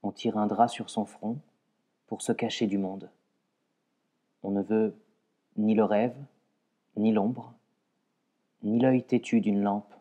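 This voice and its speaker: A man reciting poetry in a measured way, pausing between short phrases. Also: lowish voice, level tone, warm tone. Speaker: poetry reader.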